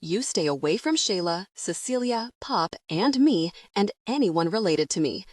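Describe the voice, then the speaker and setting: A commanding young mob heir with a blunt, cursing way of speaking, as a woman reads him aloud. He comes across lowish, recorded dry and close in a storybook reading. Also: animated, measured pace, no room sound. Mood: furious. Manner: blunt, swearing, forceful. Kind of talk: audiobook character reading.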